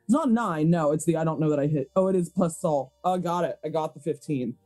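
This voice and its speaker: A woman's voice: low-pitched, fast talker, dark tone, faint music behind. A woman playing a tabletop roleplaying game, improvising her character's scenes and chatting casually at the table.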